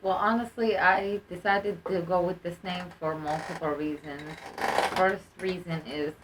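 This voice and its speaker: Young woman, low voice. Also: a little slow. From Egypt. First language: Arabic. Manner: relaxed, many fillers like "like" and "you know". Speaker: guest, musical artist. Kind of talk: casual podcast interview. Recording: roomy sound, mic at a distance, faint noise.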